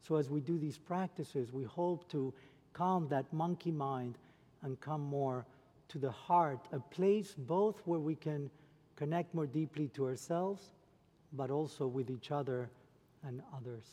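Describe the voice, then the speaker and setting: Measured man moderating in a reverberant hall. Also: fairly high pitch. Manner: measured, gentle phrasing. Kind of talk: calm guided introduction.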